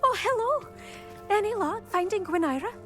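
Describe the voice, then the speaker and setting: A woman's voice: very high voice, animated, moderate pace, a bit bright, soft background music. A woman playing a vain, haughty young fashion lover in a video game, with a dramatic manner. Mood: eager. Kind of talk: video game character dialogue.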